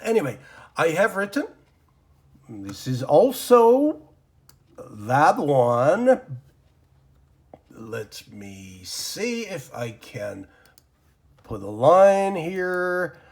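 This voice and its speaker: A man whose first language is Persian teaches English grammar from his desk at an unhurried pace. His voice sits a touch high and swings widely in pitch. He rambles, fills pauses with ums and uhs, and mutters to himself as he draws on the board. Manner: rambling, lots of fillers and self-talk while drawing. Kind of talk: informal grammar lesson.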